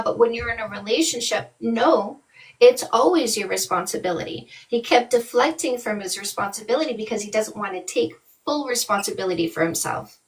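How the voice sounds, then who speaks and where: A woman's voice: a little high, far from the mic, low-quality recording. A woman in her forties from Canada, a dating coach giving blunt, emphatic advice to callers on a live stream from a small room. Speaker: dating coach hosting a call-in show. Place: small room indoors, webcam.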